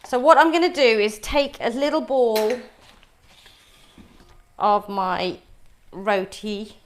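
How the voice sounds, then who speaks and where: A woman's voice: on the high side, slow pace, slight background noise. A middle-aged woman whose first language is Punjabi, chatting as she demonstrates a recipe step by step for viewers in a live cooking class. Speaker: cooking teacher.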